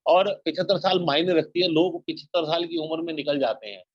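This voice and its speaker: A man's voice: on the high side, fairly quick, no room sound. A man giving an earnest motivational talk on network marketing, exhorting listeners and repeating his points. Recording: dull lo-fi, dry sound.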